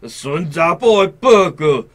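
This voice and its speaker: An actor with a Taiwanese accent playing a pompous, unfeeling colonial magistrate in a radio drama, drawling officiously. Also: male voice, on the high side, animated, takes it slowly. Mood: officious, dismissive.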